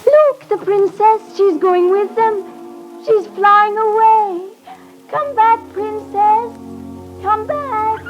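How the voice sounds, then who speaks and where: A woman's voice: extremely high pitch, takes it slowly, muffled recording. An actress playing a shy, simple and earnest young fisher girl in a 1950s radio drama. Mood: excited, dismayed. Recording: 1950s radio recording with music.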